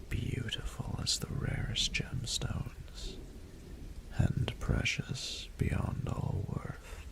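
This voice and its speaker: Man acting as a rumbling, gravelly, seductive dragon lord. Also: heavily acted. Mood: tender, adoring. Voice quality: very deep, gravelly, breathy half-whisper. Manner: slow, formal, archaic, seductive phrasing. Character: courtly, flirtatious adult male dragon in human form. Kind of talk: scripted romantic audio roleplay.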